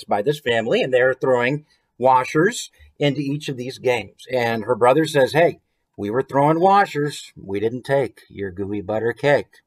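A man in his forties with an American accent, hosting a story time in an expressive, conversational way with chatty asides. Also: mid-range voice. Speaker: author reading his picture book. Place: small room at home, indoors.

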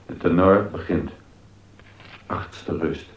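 An exacting, amorous baroque composer in a radio docudrama. His voice is on the low side and very unhurried, with pauses between short instructions. The sound is somewhat dull. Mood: slow, dictating. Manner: instructive, deliberate, pausing between short phrases. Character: baroque composer and music master, male, exacting yet amorous. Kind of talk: Dutch radio docudrama acting. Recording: dull, roomy sound with music behind.

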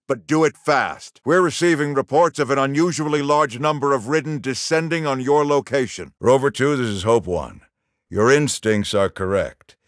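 A stern, middle-aged general for a video game, issuing orders with clipped authority. His pitch moves widely as he briefs his troops, and the recording is close and dry. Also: dry recording. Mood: urgent, then stern, measured. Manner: authoritative, clipped military delivery. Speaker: military commander character in a video game.

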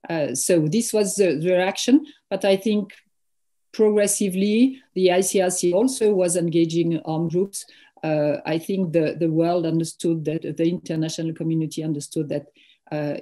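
A woman's voice: sits low, fairly even intonation, normal speed, warm tone. A woman speaking earnestly as an NGO panelist in a video-call panel discussion.

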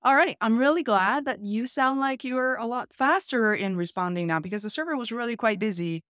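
A woman's voice: a little high, animated, a little fast, a bit bright, no echo. Woman hosting, dry close sound. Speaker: YouTube host. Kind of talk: conversational tech demo. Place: small home room, indoors.

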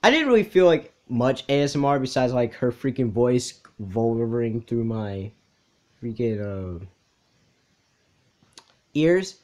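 A man's voice: mid-range voice, animated, a little slow, pauses often, a little mellow. A young man hosting a reaction video with casual, off-the-cuff commentary. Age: young adult.

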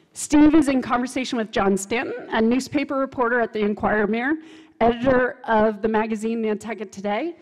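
A woman's voice: a little high, darkish tone. Woman reading an introduction in a reverberant hall. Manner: measured and formal, reading from notes. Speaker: festival committee member introducing speakers. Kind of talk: reading a prepared introduction at a podium. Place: stage of a hall with an audience. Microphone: podium mic on a stand.

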